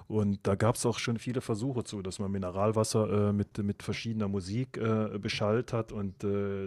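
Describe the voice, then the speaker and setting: Man, podcast host, hesitant, many fillers, lowish voice, dry sound. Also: no room sound. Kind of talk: casual interview.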